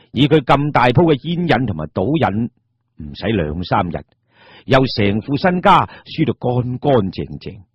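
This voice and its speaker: A man narrating a radio drama as a storyteller, with a dramatic, animated and colloquial delivery. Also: mid-range voice, breathy edge, dry recording, highs cut off.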